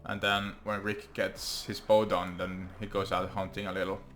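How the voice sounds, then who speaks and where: Man, Norwegian accent, non-native English, low voice, hesitant, let's play commentary. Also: level tone, a bit bright, soft background music. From Norway. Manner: thinks aloud with frequent ums and pauses. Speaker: gaming channel host.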